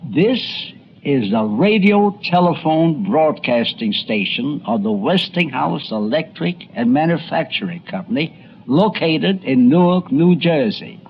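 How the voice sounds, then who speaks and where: A man quoting a formal 1920s radio announcer with deliberate delivery. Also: on the high side, sing-song, lo-fi sound, a little hiss or hum. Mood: formal, courteous.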